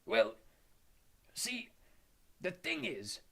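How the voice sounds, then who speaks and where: Male narrator voicing a hulking half-giant gamekeeper: gravelly, husky, stammering, rustic and soft-hearted. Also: high-pitched, wildly swooping pitch, drawn-out words, voice pushed up, heavily acted. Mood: nervous.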